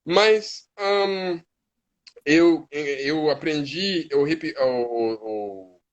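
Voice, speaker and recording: A man's voice: fairly high pitch, rough recording. A man with an American accent, a native English speaker, talking as a guest on a live stream and often restarting words as he searches for them. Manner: halting, restarts words while searching in Portuguese. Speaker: guest, hip hop artist. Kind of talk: casual live-stream conversation.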